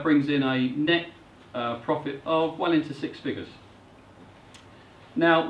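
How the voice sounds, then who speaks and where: A man's voice: fairly high pitch, a little hiss or hum. Middle-aged man presenting in an echoey hall. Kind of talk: seminar presentation. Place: hotel function room. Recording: far-off, echoey, dull room recording.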